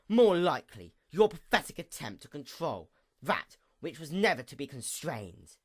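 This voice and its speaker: A performer acting out a bitter pony goddess of the night, female and vengeful. The delivery of this villain monologue is grandiose and formal, with a mid-pitched voice that sweeps widely between fierce outbursts and hushed menace. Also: sing-song, measured pace, husky. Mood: scornful, brooding. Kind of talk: dramatic reading, theatrical villain monologue.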